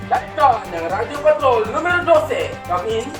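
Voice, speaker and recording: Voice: high-pitched, big pitch swings, normal speed. An actor playing a formal radio news anchor who hands over to field reporters in a radio play. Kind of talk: mock radio newscast. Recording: echoey, distant mic, music behind.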